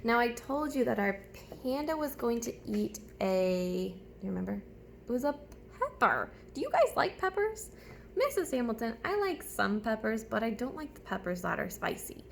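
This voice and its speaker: Woman, elementary teacher, children's writing lesson, instructional, question-led, frequent vocal fry, faint background noise. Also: a little high, very animated, normal speed. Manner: instructional, guiding, asks questions and spells words out. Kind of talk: read-along writing lesson for young children.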